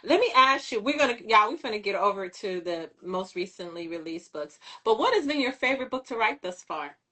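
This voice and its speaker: A woman hosting an interview, excitable and affirming, often opening with "listen.". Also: mid-range voice, a little fast. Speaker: interview host. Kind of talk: casual interview chat.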